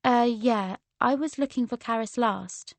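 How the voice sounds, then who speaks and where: A woman voicing an earnest, nervous teenage girl in an audiobook, speaking in a hesitant, halting way. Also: on the high side, dry recording. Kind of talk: character dialogue in an audiobook.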